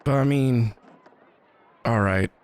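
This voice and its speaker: A woman reacting casually to a video game, talking in an animated, slangy way. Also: very deep voice, slow pace, a little hiss or hum.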